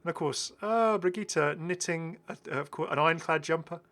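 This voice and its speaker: A man's voice: a little high, normal speed, a bit bright. A man hosting a gaming video with casual, enthusiastic and chatty commentary. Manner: chatty, prone to chuckling asides.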